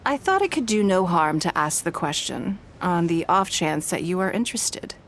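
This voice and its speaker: A woman voicing a poised, authoritative noblewoman in a video game, speaking in a formal, measured, composed manner. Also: lowish voice, expressive, slight background noise. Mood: composed, gracious. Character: poised, authoritative noblewoman leader employing secretaries. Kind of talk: scripted video game dialogue. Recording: background music behind voice.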